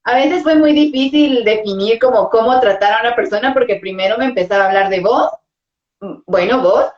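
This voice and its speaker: A Guatemalan woman chatting in an easygoing, agreeable way, her voice slightly high. The audio sounds roomy and a little dull, like a lo-fi call. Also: fairly quick, darkish tone. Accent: Guatemalan Spanish. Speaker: Spanish teacher. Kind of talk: casual conversation.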